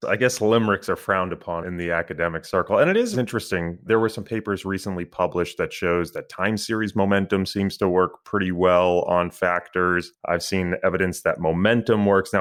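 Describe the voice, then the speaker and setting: A man hosting a finance podcast, chatting casually and self-deprecatingly with his guest. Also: low-pitched. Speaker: podcast host. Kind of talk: casual interview chat.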